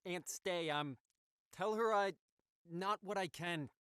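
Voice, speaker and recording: A man's voice: high-pitched, takes it slowly, fairly bright tone, clear, resonant tone, no room sound, studio quality. A man playing an evasive, hunted caller in an audio thriller, clipped and urgent. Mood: broken, hurried. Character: Artie, evasive, hunted man on a secret call.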